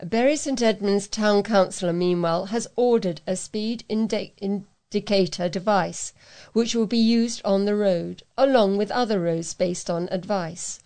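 A woman's voice: medium pitch, unhurried, mellow, smooth. A woman volunteer reading a local newspaper report aloud in a plain way, with the occasional stumble over a word. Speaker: volunteer local news reader.

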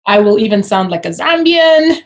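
A woman's voice: mid-range voice, sing-song, normal speed. Reflective woman from Uganda. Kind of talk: casual chat.

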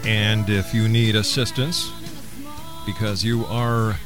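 A man hosting a radio talk show, earnest and a bit halting, with fillers between phrases. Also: on the low side, little pitch movement, over music.

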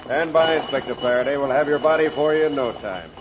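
An actor playing a brisk, commanding river police captain in an old-time radio drama. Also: male voice, medium pitch, natural voice. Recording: muffled, noisy old radio recording.

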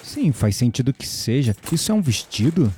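An actor playing an intrigued, gently teasing young Brazilian man in an audio drama. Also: mid-range voice, big pitch swings, normal speed, slight background noise, close to an everyday voice.

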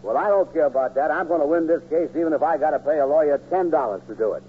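Cheated everyman, ungrammatical and indignant, fast talker, narrow vintage radio comedy sound. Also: fairly high pitch, husky. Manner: folksy, ungrammatical, protesting. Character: indignant, gullible male dupe being cheated. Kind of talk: 1940s radio comedy acting. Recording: scratchy, narrow old radio recording, hum.

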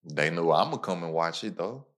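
A young man hosting a podcast, talking casually with slang and animated, quick bursts of storytelling. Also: low-pitched. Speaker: podcast host.

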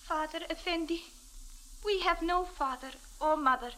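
A woman's voice: very high voice, normal speed, sharp tone. An actress playing an anxious, pleading young woman in a 1940s radio drama, speaking formal broken English. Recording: old radio drama recording.

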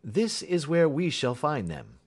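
A man playing a calm, dryly superior detective in an audiobook, speaking with deliberate assurance. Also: a little high, husky, pitched above the performer's own voice, heavily acted. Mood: dryly confident. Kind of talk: audiobook character acting.

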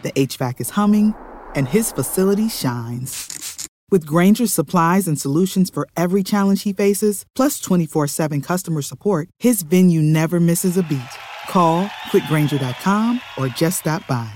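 A commercial announcer narrates a scripted advertisement in a middle-pitched voice. The read is prepared narration, told like a short story with a tagline. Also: lively intonation, normal speed, a little mellow, a little hiss or hum.

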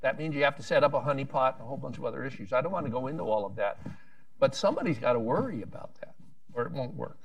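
A man's voice: medium pitch, animated, very fast, gravelly, no room sound, far from the mic, muffled recording. A man speaking on a policy panel, rambling with frequent restarts and wry asides.

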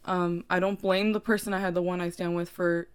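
A woman's voice: fairly low pitch, little pitch movement, a little fast, clear, resonant tone. A young woman co-hosting a casual podcast, talking earnestly and encouragingly, with the odd swear word. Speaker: podcast co-host. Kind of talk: casual chat.

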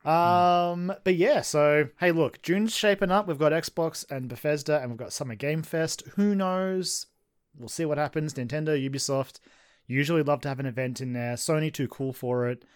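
A man's voice: on the high side, normal speed, clear, resonant tone. A young man co-hosting a casual gaming podcast, rambling and self-deprecating, laughing often. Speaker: podcast co-host.

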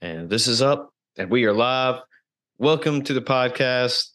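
A man's voice: medium pitch, animated, a little slow, over music. A man hosting a podcast, asking questions in a rambling, hesitant way with many "you know"s. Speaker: podcast host. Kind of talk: casual interview.

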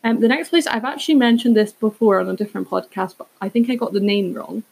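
Young woman, raspy and creaky, casual podcast chat, rambling, full of self-aware asides. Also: mid-range voice, quick pace, a little mellow, poor audio. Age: 20s. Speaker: podcast host. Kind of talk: casual chatty solo podcast.

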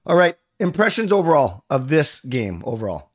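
A man hosting a casual sports podcast, his voice raspy and hoarse from a cold, talking in a chatty, easygoing way. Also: on the high side, sing-song, moderate pace. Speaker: podcast host.

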